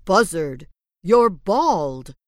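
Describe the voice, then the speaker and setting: A woman putting on a blunt storybook wolf, pitched lower than her narration and slow. He speaks plainly, bluntly, with a dry close sound. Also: sing-song, no echo, full character voice. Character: male wolf, blunt and worried leader.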